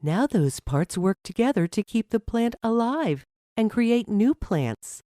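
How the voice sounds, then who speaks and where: Woman playing a patient, slow-talking storybook mother. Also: fairly high pitch, very animated, dark tone, no echo, studio quality, voice pushed up. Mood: patient, explanatory. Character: patient, encouraging mother explaining to her child.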